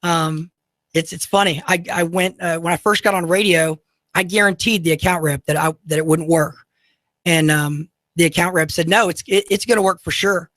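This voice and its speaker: A man with a high, slightly goofy voice hosting local talk radio, talking to listeners directly in a folksy, salesy way. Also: steady tone, moderate pace, fairly bright tone, no room sound. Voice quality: high-pitched, goofy-sounding voice. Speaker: radio show host. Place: radio studio.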